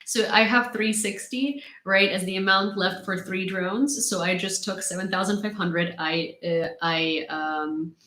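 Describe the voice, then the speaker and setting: A young woman from Ukraine with a Ukrainian accent, casually chatting on a livestream and reading viewer comments, laughing often and filling pauses with ums. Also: mid-range voice, steady tone, sharp tone. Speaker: livestream host. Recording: roomy echo.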